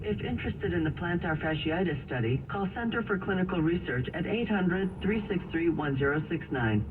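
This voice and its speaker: A man reading a scripted radio commercial in a measured way, spelling out phone numbers slowly. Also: high voice, lively intonation. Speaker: radio ad announcer. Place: car radio playing in a moving vehicle. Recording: tinny car radio, distant, loud road noise. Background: loud vehicle road noise.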